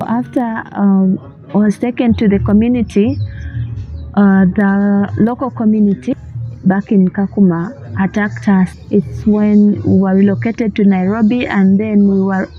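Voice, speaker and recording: Woman from Uganda telling her own story on video, plain delivery, medium pitch, dull recording. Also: fairly even intonation, measured pace, soft background music. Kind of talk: first-person testimony. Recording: muffled video audio.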